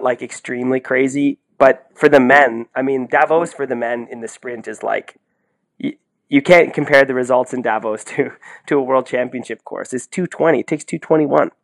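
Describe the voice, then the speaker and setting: A man co-hosting a sports podcast, talking in an excitable, effusive, slangy way in casual commentary. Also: mid-range voice.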